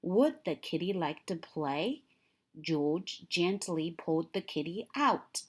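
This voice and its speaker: A woman reading a picture book aloud to young children in an expressive storytelling style, with dramatic emphasis on key moments. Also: fairly low pitch, takes it slowly.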